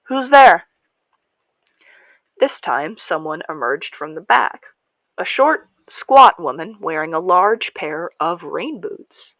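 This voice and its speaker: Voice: medium pitch, a bit bright, no room sound, poor audio. A narrator telling a first-person story in a podcast-style audio drama, confiding and dramatic. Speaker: narrator in character.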